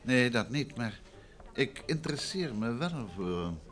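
A man's voice: mid-range voice, very animated, unhurried, a bit bright, faint music behind. An actor playing a courteous, inquisitive male detective in an old Dutch radio drama, asking probing questions in a conversational way. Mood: probing, genial.

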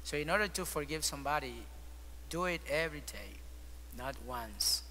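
A priest whose first language is Spanish, speaking English with a Spanish accent. He gives a homily at the altar of a large church and often pauses to search for words. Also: on the high side, lively intonation, bright, forceful tone. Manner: hesitant, searching for words with frequent ums. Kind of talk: weekday homily. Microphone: far camera. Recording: long church reverb, faint hum.

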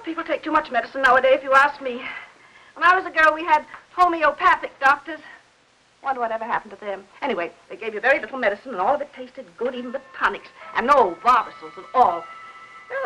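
High-pitched, fussy, chattering aunt. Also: female voice, animated, fast talker, bright, forceful tone, low-quality recording. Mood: fussy, chatty. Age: middle-aged. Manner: talkative, fussing, gossipy. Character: chatty, fussy, well-meaning middle-aged aunt. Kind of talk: 1950s Hollywood melodrama film acting. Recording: old 1950s film soundtrack.

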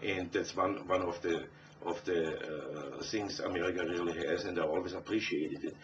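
Deep austrian guy, german accent, chatting in an interview, muffled audio with a bit of noise. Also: fairly even intonation, moderate pace, a bit bright. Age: middle-aged. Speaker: interviewed rock musician. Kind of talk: conversational interview answers. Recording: dull, distant, faintly noisy interview audio.

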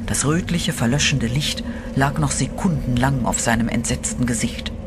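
A man's voice: mid-range voice, moderate pace, heavy background noise. Man narrating a horror radio play. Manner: steady, dramatic storytelling. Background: eerie music.

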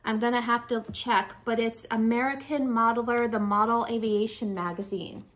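A woman's voice: fairly high pitch, fairly even intonation, normal speed, a bit bright. A woman in her forties, a little out of breath, chatting casually and rambling to viewers as she presents secondhand finds. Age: 40s. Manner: rambling, self-correcting, addresses viewers directly. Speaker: reseller showing flea market finds. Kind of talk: casual chatty haul vlog. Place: furnished room at home, indoors.

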